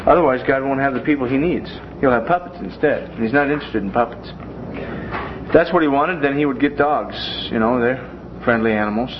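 A man's voice: medium pitch, brisk. A man leads a Bible lesson in a classroom in a folksy manner, with joking asides. The recording is dull and lo-fi, and faint hiss runs beneath his voice. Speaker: Bible teacher.

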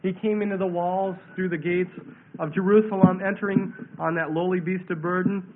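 A man's voice: high-pitched, level tone, normal speed, slight background noise. A man preaching a verse-by-verse Bible sermon, congested from a head cold, emphatic and declamatory, repeating phrases for weight. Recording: thin phone-like radio sound.

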